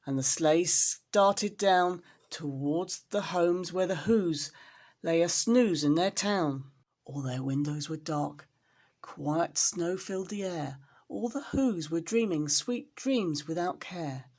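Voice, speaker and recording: A woman's voice: fairly low pitch, slow pace, darkish tone. A woman storyteller reading a children's storybook expressively, with dramatic emphasis on repeated words.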